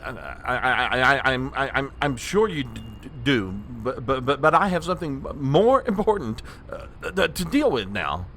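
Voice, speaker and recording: A prim, nervous political aide in a comic audio drama. His formal speech trips into stammers and his pitch leaps about in a lively way. There is faint noise behind him. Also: moderate pace, hardly any pauses. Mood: flustered, pleading. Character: flustered, proper male chief of staff. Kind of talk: comedic audio drama acting.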